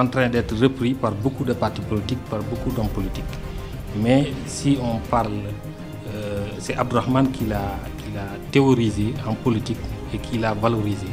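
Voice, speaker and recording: A man with a Senegalese French accent, not a native French speaker, speaking as a guest in a political radio interview, earnest and hesitant, with many fillers and restarts. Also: medium pitch. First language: Arabic-educated, not a French native speaker.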